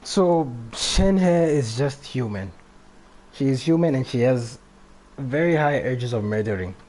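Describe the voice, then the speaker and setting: Slangy male game streamer, mid-pitched. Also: lively intonation, unhurried, a little mellow. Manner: slangy, reacting aloud. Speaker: live streamer. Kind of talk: casual game-stream commentary. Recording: faint background noise.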